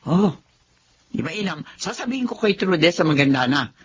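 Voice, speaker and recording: A woman's voice: lowish voice, very animated, moderate pace, lo-fi sound, slight background noise. A woman with a Filipino accent playing a cruel, greedy aunt in a melodramatic radio drama, scolding and sneering. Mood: gloating. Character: cruel, greedy aunt and mother, domineering, mocking villain.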